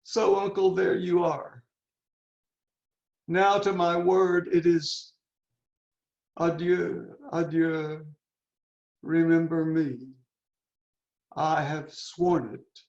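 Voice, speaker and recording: Guy acting anguished young shakespeare prince, really drawn-out halting delivery, gravelly edge, echoey muffled video call. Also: a little high, fairly even intonation, darkish tone, full character voice. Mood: resolute. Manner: declamatory, halting, dwelling on words. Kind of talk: Shakespearean dramatic reading. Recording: roomy, echoing, muffled video call audio.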